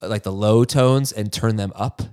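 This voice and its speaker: A man hosting a podcast in casual chat, with a nasal voice and a relaxed, rambling way of talking. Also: fairly low pitch, a little slow, clear, resonant tone. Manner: relaxed, chatty, with frequent restarts. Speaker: podcast host.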